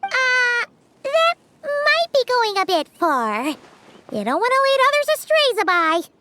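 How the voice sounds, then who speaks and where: A woman voicing a tiny, excitable, childlike floating companion in a video game, with a squeaky voice and cute exclamatory phrasing. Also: measured pace, exaggerated voice. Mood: doubtful. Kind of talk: video game character acting. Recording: loud music behind the voice. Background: game music.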